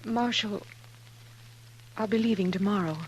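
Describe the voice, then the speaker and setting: Woman acting grieving proud sister, old West, medium pitch, earnest, dignified, 1950s radio drama, noisy humming recording. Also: steady tone, unhurried, close to an everyday voice. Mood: grieving, composed.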